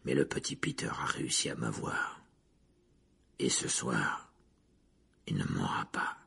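A man voicing a gaunt, fierce escaped prisoner in a low, vengeful growl. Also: measured pace, creaky, pushed and bright, breathy edge, heavily acted. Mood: menacing growl.

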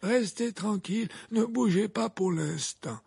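A man's voice: high-pitched, a little slow, gravelly, a little mellow, slightly rough, no echo, clean studio sound, voice pushed up, full character voice. A man voicing a commanding, composed old wizard headmaster with courteous authority in an audiobook story. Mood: firm, calming.

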